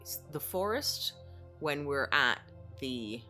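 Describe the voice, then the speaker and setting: A woman's voice: rumbling low, animated, slow pace, bright, forceful tone, soft background music. A woman chatting casually as a voice actor on a podcast.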